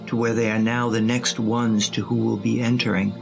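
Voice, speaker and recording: A man's voice: fairly low pitch, little pitch movement, normal speed, vocal fry, music in the background. A man reading scripted present-tense narration for an audio drama.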